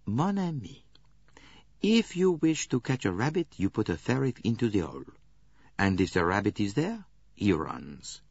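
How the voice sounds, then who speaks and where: A shrewd, fussy older Belgian detective speaks with precise, courteous phrasing, as a man puts him on. The voice is husky and swoops up and down. The audiobook sound is dry and a little dull. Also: fairly low pitch, sing-song, normal speed, darkish tone, no echo, heavily acted.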